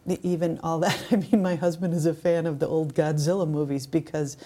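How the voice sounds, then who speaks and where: A woman's voice: low-pitched, level tone, a little fast, dark tone. A woman co-hosting a podcast, talking in a casual, discursive style. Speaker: podcast co-host.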